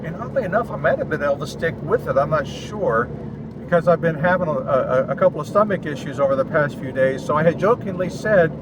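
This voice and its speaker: Clear car and outdoor noise surrounds a man's slightly high-pitched voice. He speaks casually to his vlog audience from inside a vehicle. His explanations come in short, chatty phrases with pauses between them. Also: expressive. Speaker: vlogger and amateur radio operator. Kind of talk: casual vlog talk to camera. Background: car and outdoor ambience noise.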